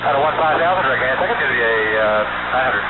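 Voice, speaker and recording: A man's voice: medium pitch, expressive, fast, loud music behind the voice. A man speaking as an airline pilot, giving terse readbacks over a cockpit radio. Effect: cockpit radio transmission. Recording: tinny, very noisy aviation radio.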